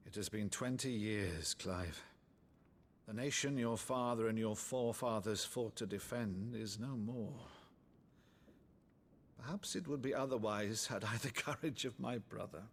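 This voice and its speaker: A man's voice: on the low side, measured pace, slight background noise. A middle-aged man playing a jovial, theatrical nobleman uncle in a video game cutscene. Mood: grave. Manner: hearty, theatrical, pompous. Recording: game score playing behind. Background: music.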